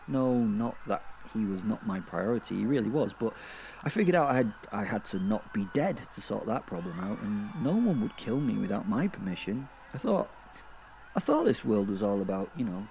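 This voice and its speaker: A man playing a foul-mouthed, flippant werewolf killer who narrates a horror comedy audio drama in rambling, halting, sardonic asides. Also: fairly low pitch, warm tone, a little hiss or hum, close to an everyday voice. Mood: indignant.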